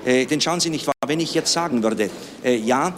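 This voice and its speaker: A man giving a formal official statement, halting, peppered with hesitations and tag questions. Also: medium pitch, slight background noise. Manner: hesitant, full of "äh" and "nicht wahr".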